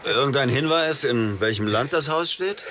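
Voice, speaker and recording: A man's voice: mid-range voice, lively intonation, moderate pace, a bit bright, slight background noise, natural voice. A man playing a curious, wry intelligence man in a radio drama, full of probing questions. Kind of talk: naturalistic radio drama dialogue.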